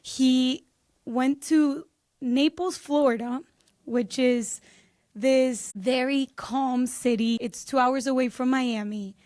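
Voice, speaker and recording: A bubbly woman in her twenties from Peru, chatting as a podcast guest. Her voice sits high, and she tells her family story with quick laughs. The sound is dry and close. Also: steady tone, darkish tone, no echo. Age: 20s. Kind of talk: casual interview chat.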